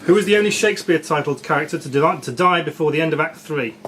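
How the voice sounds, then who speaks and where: A man's voice: fairly high pitch, quick pace, background noise. A man reading out quiz questions as quizmaster and ruling on the answers. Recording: music playing behind the voice. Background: music.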